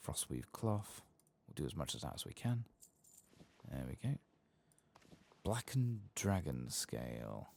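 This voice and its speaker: Male ASMR game streamer: extremely low, creaky voice, soft and murmuring, close-miked. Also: big pitch swings. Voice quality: soft, partly whispered. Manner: unhurried, murmuring to himself. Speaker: gaming streamer. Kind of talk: soft-spoken ASMR gameplay commentary.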